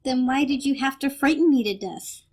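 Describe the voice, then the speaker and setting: A woman's voice: high-pitched, moderate pace, slight background noise, pitched above the performer's own voice. A woman voicing a feisty, indignant young fairy in a dramatic storybook reading, emphatic and defiant.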